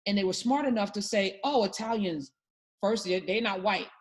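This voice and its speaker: A woman's voice: medium pitch, moderate pace. A woman hosting a talk show, speaking with emphatic, passionate conviction in casual political discussion.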